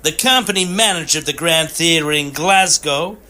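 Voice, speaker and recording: An actor playing a fussy, formal Victorian assistant in a comic audio drama, with a precise, officious way of talking. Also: male voice, a little high, moderate pace, sharp tone. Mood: fussy, anxious. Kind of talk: period comedy audio drama acting.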